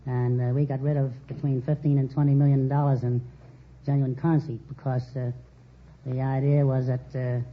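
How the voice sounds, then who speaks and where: An older man from New York City answering as a quiz show contestant in an earnest, halting, formal way. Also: mid-range voice, little pitch movement. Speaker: contestant, retired Secret Service agent. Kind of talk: unscripted quiz show interview. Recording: muffled vintage radio with hiss.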